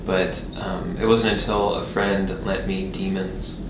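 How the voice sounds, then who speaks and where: A man with a lowish voice chats casually, feeling his way through each thought. The room is echoey and there is clear ambient noise behind him. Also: level tone, unhurried. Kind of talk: casual chat, reminiscing. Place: indoor radio studio. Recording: roomy studio recording with background noise.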